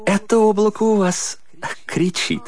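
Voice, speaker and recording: An actor playing a languid, pompous painter in a radio drama, halting and mannered as a condescending mentor. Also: male voice, high voice, fairly even intonation, a little mellow. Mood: pompous, critical.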